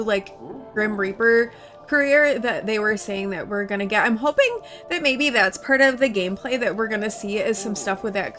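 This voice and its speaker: A woman's voice: a little high, fairly bright tone. A woman YouTuber, still congested from a cold, chatting casually as she reacts to a game trailer. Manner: chatty, with many "like" fillers.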